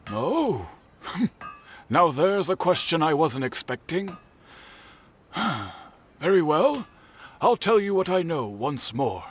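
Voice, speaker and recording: Higher-voiced, earnest game blacksmith. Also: male voice, a little hiss or hum. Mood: obliging. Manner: formal, measured storyteller with dramatic pauses. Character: earnest, courteous male blacksmith telling old tales. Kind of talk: video game voice acting, narrative exposition. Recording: background music under the voice.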